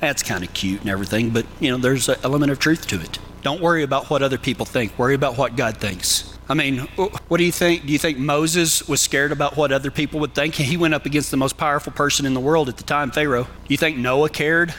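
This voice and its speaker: A man's voice: mid-range voice, quick pace, few pauses, a bit bright, slight background noise. A man with a Texan accent preaching a folksy sermon as a cowboy preacher, talking to listeners plainly and with humor. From Texas. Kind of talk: sermon with numbered tips.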